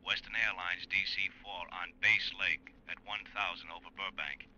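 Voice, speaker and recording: A man's voice: low-pitched, fairly even intonation, moderate pace, sharp tone, heavily acted. A man playing a businesslike American air controller in a 1950s radio drama, reporting formally over a radio transmission. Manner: formal radio procedure delivery. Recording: muffled, noisy vintage radio recording.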